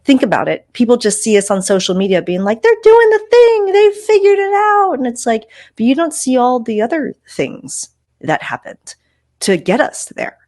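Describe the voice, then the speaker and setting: A woman's voice: on the high side, wildly swooping pitch, moderate pace, darkish tone, no echo, clean studio sound. A woman hosting a podcast, talking in an upbeat, emphatic, conversational way. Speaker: podcast host. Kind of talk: podcast intro and interview.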